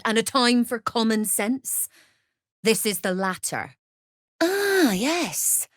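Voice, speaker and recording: A woman's voice: mid-range voice, no echo, studio quality. A woman playing a shrewd, worldly smuggler and trader in a video game, speaking in a sly, wry, businesslike manner. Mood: cool, calculating. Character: shrewd, worldly female smuggler-merchant of a criminal trade network. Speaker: trader and guild agent. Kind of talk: video game character dialogue.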